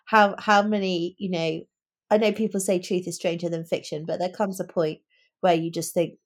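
A woman's voice: on the low side, steady tone, moderate pace. A woman with a British accent co-hosting a podcast, discussing books in an opinionated, conversational way. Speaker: podcast co-host. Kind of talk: casual book discussion.

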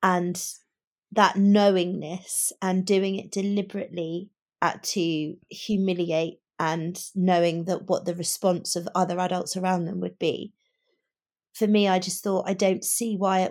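A woman's voice: lowish voice, little pitch movement, unhurried, darkish tone. A woman co-hosting a podcast in a casual book discussion, talking in an emphatic, opinionated and articulate way.

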